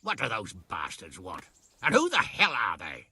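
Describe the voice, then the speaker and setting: Gravelly, lively-voiced rough captain, dry close sound, played by. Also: male voice, on the low side, normal speed, heavily acted. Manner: gruff, profane. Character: blunt, foul-mouthed male knight captain. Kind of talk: video game voice acting.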